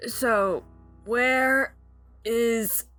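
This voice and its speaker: A woman's voice: a little high, steady tone, a bit bright, pitched above the performer's own voice. A woman voicing a groggy, brash, sarcastic injured mare adventurer in a cartoon fan story, muttering to herself. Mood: sardonic. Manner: slow, sardonic, inner-monologue muttering. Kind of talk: cartoon fan fiction character reading. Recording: echoey, long reverb, music underneath.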